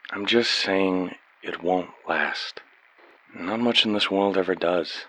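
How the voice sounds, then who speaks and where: A man's voice: low-pitched, slow pace, bright, forceful tone, full character voice, rough recording. A man playing an earnest, lovestruck young painter in a radio play, plain-spoken and sincere. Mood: earnest, pleading. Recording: faint background hiss.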